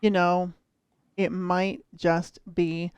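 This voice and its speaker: A woman's voice: lowish voice, flat delivery, extremely slow, a little mellow, clear, resonant tone, no echo, highs cut off. A woman hosting a livestream match, upbeat, chatty and playful. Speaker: host.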